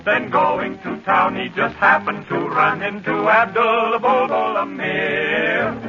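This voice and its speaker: A man sings a comic ballad in 1940s radio vocal-group style. The voice is very high, slow and swooping, with loud music behind it on a narrow old recording. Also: little pitch movement. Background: loud musical accompaniment.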